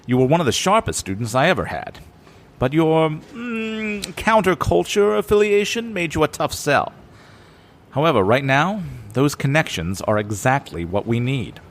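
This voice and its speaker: An actor playing a suave, secretive professor and spy recruiter in an audio drama, formal and theatrical. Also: male voice, fairly high pitch, sing-song, moderate pace. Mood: grave, secretive. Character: suave, secretive criminology professor man who recruits spies.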